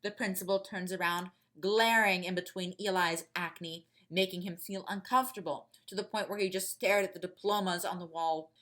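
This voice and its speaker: A woman's voice: fairly low pitch, steady tone, normal speed, hardly any pauses, fairly bright tone. A woman reading scripted fiction as the narrator of a story podcast.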